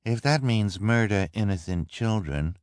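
A man's voice: lowish voice, animated, measured pace, darkish tone, no echo, clean studio sound, voice pushed up. A man voicing a callous, pale-faced henchwoman.

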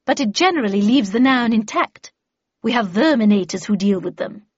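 A woman voicing an imperious, brisk older lady mentor in an audiobook, with clipped, commanding and pompous delivery. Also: on the high side, animated, husky, no room sound, muffled recording. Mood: lecturing. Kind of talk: audiobook character dialogue.

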